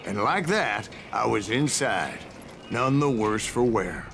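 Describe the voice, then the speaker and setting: Wry old Wild West gunslinger. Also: male voice, on the low side, animated, slow pace, creaky, full character voice. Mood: animated storytelling. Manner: folksy, wry storyteller with frontier slang. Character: old male Wild West gunslinger telling his tall tales. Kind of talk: game voice acting, narrated yarn. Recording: music under the voice.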